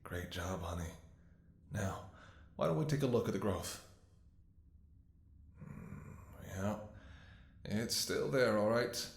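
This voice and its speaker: Guy playing a tired loving doctor in an asmr drama, lowish voice, soft soothing bedside talk, roomy echo. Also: expressive, takes it slowly, vocal fry, pauses often, slight background noise, exaggerated voice. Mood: clinical, hopeful. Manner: tender, soothing, sighing. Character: weary, devoted male doctor tending an infected lover in apocalypse. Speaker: voice actor. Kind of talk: ASMR audio roleplay, intimate acting.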